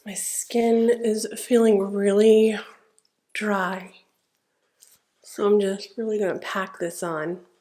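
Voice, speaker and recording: A young woman talks her way through a makeup routine at home, her voice a little blocked and stuffy from congestion. She keeps it relaxed and conversational, filling gaps with small words as she works. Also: medium pitch, slow pace, pauses often. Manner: chatty, relaxed, narrating each step with fillers. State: stuffed-up nose. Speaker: beauty vlogger. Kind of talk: casual makeup tutorial narration.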